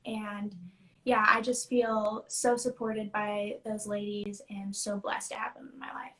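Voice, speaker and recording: A woman's voice: mid-range voice, monotone, unhurried, a bit bright, poor audio. A woman in her early twenties, a songwriter being interviewed over a live video call, talking casually with lots of "like" and "you know". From United States. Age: 20s. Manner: chatty, many fillers like "you know" and "like". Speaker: songwriter guest. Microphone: video call on phone.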